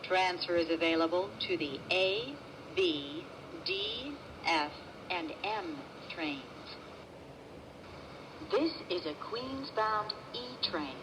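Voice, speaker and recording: Female transit announcer, slightly low pitch, measured scripted delivery in clipped segments, noticeably noisy vehicle-ambience recording. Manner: measured, formal, segmented phrasing. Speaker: train announcer.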